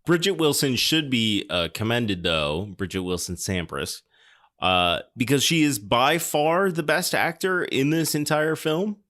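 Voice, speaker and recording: A man's voice: mid-range voice, expressive, a little slow, fairly bright tone. A man hosting a podcast, chatting casually about films in a wry, joking, conversational way. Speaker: podcast host. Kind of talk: casual film discussion and show announcements.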